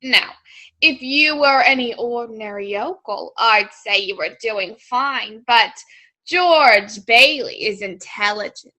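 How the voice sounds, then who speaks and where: Radio play villainess, acted by a woman: grasping old banker, very bright hard voice, measured and condescending. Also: a little high, low-quality recording. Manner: deliberate, condescending, commanding. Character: scheming old female banker, rich, domineering and grasping. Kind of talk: old-time radio drama acting.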